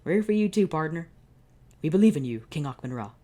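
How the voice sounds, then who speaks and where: A woman voicing a friendly talking toy truck, cheery and loyal, with loud, folksy encouragement. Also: low-pitched, animated, quick pace. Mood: encouraging.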